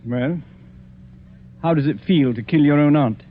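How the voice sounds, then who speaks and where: Man doing a smug egotist detective, bossy, bit high voice, tinny old radio with faint music. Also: unhurried, a little hiss or hum, natural voice. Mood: interrogating. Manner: boastful, commanding. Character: egotistic male detective, proud and cold. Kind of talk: 1940s radio acting.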